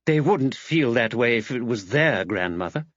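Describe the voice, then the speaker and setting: A man's voice: medium pitch, animated, normal speed, no echo, close to an everyday voice. A man voicing a bossy, catty village woman with a blunt, probing manner in an audiobook, on slightly dull audio. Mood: blunt, sceptical.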